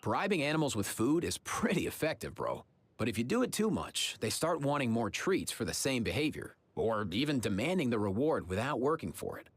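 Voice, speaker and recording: An actor playing a friendly, easygoing young adventurer in a video game, with an upbeat, casual, chatty manner. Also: male voice, mid-range voice, very animated, fairly quick, slightly rough, no echo. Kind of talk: video game character lines.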